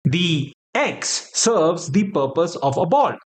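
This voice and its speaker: A man from Kerala, India, hosting a trivia podcast and reading out quiz questions in a measured way. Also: fairly high pitch, very animated. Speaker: podcast host.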